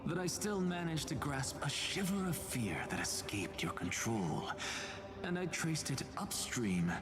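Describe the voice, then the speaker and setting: An actress voicing a sly, menacing mind-reader in a video game, teasing and drawing out words, sometimes almost whispering. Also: female voice, low voice, very animated, unhurried, background noise. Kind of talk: dramatic video game voice acting. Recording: game music behind the voice.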